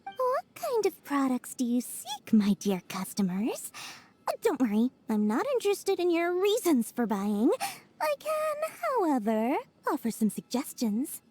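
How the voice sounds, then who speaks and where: High-pitched, sly little merchant girl. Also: huge pitch swings, a little slow, darkish tone, breathy edge, exaggerated voice. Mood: salesmanlike. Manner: theatrical, wheedling, smug salesmanship. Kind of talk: video game voice acting.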